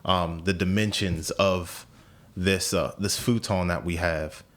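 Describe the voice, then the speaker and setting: Low-voiced man in his twenties, musing aloud. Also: slow. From United States. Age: 20s. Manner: relaxed, thinks aloud with repeats. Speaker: podcast host. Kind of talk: casual conversation.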